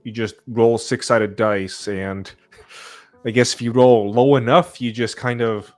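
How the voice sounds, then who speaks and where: A man's voice: medium pitch, steady tone, unhurried, darkish tone, soft background music. A man chatting casually as a panelist on a podcast about games.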